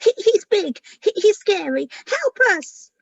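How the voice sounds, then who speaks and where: A frightened young dragon from a storybook , acted out by a woman. The voice is pitched very high, flustered and pleading, with stammered repeats. The recording is a bit dull. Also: sing-song, slow pace, creaky, dry recording, heavily acted. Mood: frightened, urgent.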